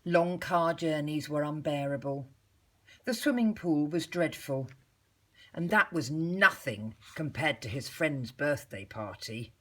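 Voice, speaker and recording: Lively, husky-edged woman reading a storybook. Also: sits low. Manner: measured, animated storytelling with deliberate pauses. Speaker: teacher reading a story aloud to pupils. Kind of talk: expressive storybook reading for young children.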